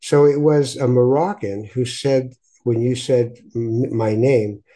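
A man's voice: medium pitch, fairly even intonation, takes it slowly, mellow. A man hosting a conversational podcast, talking reflectively and often repeating words as he goes. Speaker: podcast host. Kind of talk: conversational interview with reflective introduction.

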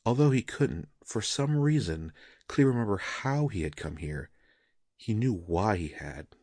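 A man's voice: fairly low pitch, lively intonation, unhurried, darkish tone, no room sound, clean studio sound. A man reading a scripted fantasy story as a dramatic narrator.

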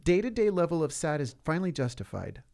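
A woman's voice: deep voice, sing-song, quick pace, creaky, darkish tone. A woman in her forties co-hosting a podcast, chatting casually with dry, self-deprecating humor. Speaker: podcast co-host. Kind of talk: casual chat.

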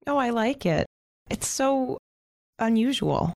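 A woman's voice: a little high, expressive, takes it slowly, a little mellow, no room sound, clean studio sound. A woman in an audio drama playing a shy, kindly teenage girl, speaking politely and tentatively. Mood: curious, polite.

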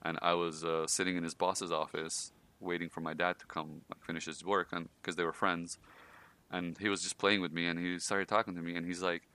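Low-voiced man telling stories on a podcast. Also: level tone, a little fast, sharp tone, clean tone. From Iran. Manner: storytelling, many fillers and laughs. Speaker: podcast host. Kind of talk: casual podcast conversation.

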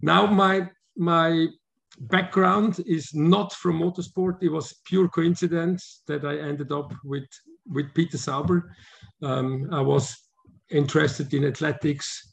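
Guy in his 50s, kinda gravelly voice a bit on the high side, stops and restarts a lot when he talks. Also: steady tone, takes it slowly, fairly bright tone. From Switzerland. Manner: thoughtful, frequent restarts and "um"s, chuckles easily. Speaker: guest, motorsport sporting director. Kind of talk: casual interview answers.

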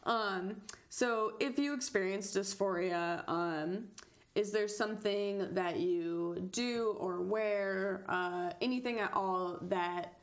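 A relaxed podcast co-host with an ordinary mid-range pitch, talking in a loose, chatty way. She laughs easily and asks open, informal questions. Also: slow. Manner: easygoing, chatty, laughs often. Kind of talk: casual conversation.

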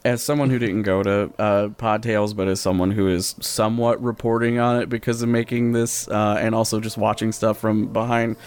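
A man's voice: lowish voice, fairly even intonation, moderate pace, hardly any pauses, darkish tone, clean tone. An American man hosting a casual podcast chat in a wry, joking way. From US Midwest. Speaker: podcast host.

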